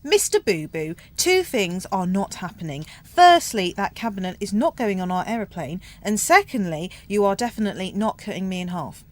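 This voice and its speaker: An exasperated check-in clerk, played by an actress who lays down the law. Her woman's voice is mid-pitched and very animated, in spoof docu-soap style. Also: moderate pace, few pauses, close to an everyday voice. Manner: firm, emphatic. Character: firm, exasperated female airline check-in clerk. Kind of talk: spoof docu-soap radio comedy acting.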